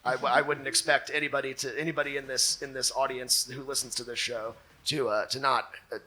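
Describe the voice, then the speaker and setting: A man hosting a live comedy show on stage, giving sarcastic commentary in a halting, stammering way. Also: mid-range voice, flat delivery, sharp tone, low-quality recording. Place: on stage before a live audience.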